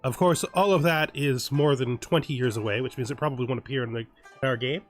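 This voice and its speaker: A man's voice: medium pitch, fast talker, faint music behind. A man hosting a strategy game let's play, thinking aloud and often restarting and correcting himself. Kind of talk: casual game commentary.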